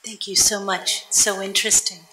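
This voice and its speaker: Female audience questioner, bright tone, unscripted delivery, roomy live recording. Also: medium pitch, little pitch movement, moderate pace. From United States. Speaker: audience member asking questions. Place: indoor public event room. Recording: echoey room, faint background noise, clicks.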